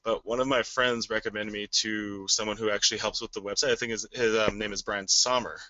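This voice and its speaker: A man with a naturally deep voice, asking a voice coach questions on a webinar in an eager, rambling way. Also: fairly even intonation, a little fast, pushed and bright, muffled recording. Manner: eager, rambling, hesitant fillers. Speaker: webinar caller, aspiring voice actor.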